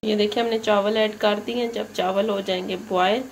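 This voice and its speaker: A woman from Pakistan hosting a cooking channel, talking through a recipe step by step in a plain, even instructional tone. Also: medium pitch, normal speed, vocal fry, poor audio. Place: home kitchen. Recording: loud kitchen noise, frying and stirring. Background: sizzling and stirring in a pan.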